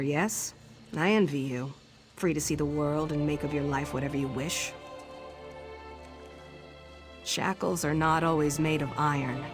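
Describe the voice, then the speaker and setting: An actress playing a young orc chief's daughter in a video game, earnest and measured, torn between duty and longing. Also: female voice, deep voice, lightly acted. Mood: wistful. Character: young orc chief's daughter, dutiful but yearning for freedom. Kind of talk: video game dialogue acting.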